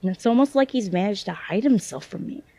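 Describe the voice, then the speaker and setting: A woman playing a hesitant, self-doubting young psychic in a naturalistic audio drama, speaking in halting phrases. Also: medium pitch, lively intonation, warm tone, dry recording. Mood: unsure, explaining.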